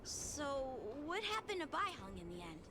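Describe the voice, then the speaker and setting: A woman voicing an earnest, chipper, inquisitive young man in a video game, with expressive delivery. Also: high voice, normal speed, noisy background. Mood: curious.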